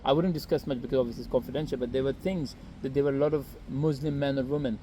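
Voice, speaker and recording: A man's voice: mid-range voice, steady tone, rapid-fire, dark tone, a little hiss or hum. A young man from Pakistan, raised in Saudi Arabia, telling his story in an earnest, rambling way with many fillers and restarts, outdoors on a city street. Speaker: interview guest. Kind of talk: casual outdoor interview.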